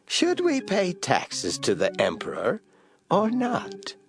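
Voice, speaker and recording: A man playing a Pharisee's follower in an audio Bible drama, flattering a teacher with slow, deliberate, ingratiating speech. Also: high-pitched, creaky, faint music behind, heavily acted. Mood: flattering, probing.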